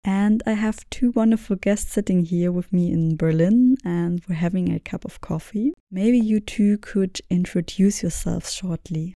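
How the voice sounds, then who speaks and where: A woman's voice: on the low side, unhurried, hardly any pauses, warm tone, no echo, clean studio sound. A woman hosting a podcast, introducing the topic and her guests in a conversational way. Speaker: podcast host. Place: indoors, sitting with guests in Berlin.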